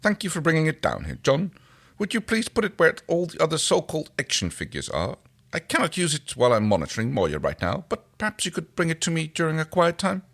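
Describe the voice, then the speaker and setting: Gentle, formal alien ship pilot, voiced by a male fan reader, raised pitch, polite and precise. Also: wildly swooping pitch, fast talker, creaky, full character voice. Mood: touched, polite.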